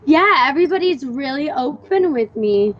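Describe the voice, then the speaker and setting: Bubbly, gushing woman guest with a very high voice, heard through dull lo-fi sound. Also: animated, moderate pace. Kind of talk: casual live chat.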